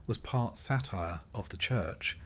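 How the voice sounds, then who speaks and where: A man narrating a scripted history story in a measured storytelling way, with dry, wry understatement. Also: fairly low pitch, lively intonation, breathy edge.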